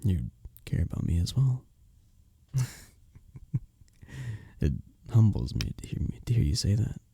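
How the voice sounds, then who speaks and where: A man acting as a kind innkeeper from a medieval fantasy village, in an intimate ASMR audio drama. His voice is low and husky, with a gravelly edge. He speaks in gentle, formal, storybook phrases with a hesitant tenderness. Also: sing-song, a little slow, heavily acted. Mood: tender, shy.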